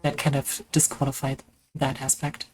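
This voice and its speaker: A woman's voice: very deep voice, completely flat delivery, normal speed, slight background noise, poor audio. A woman from Germany with a German accent, chatting casually and rambling with self-mocking humor while she streams a game. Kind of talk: casual gaming chat.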